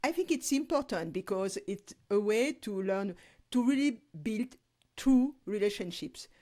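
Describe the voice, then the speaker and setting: A woman speaking as an interview guest, measured and reflective. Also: medium pitch, expressive, darkish tone. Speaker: guest, co-author. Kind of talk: casual interview talk.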